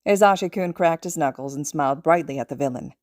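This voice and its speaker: A woman with a lowish voice narrates scripted fiction. The recording is very dry and close, with an audiobook-style read. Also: fast, a little mellow, no room sound. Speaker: podfic narrator.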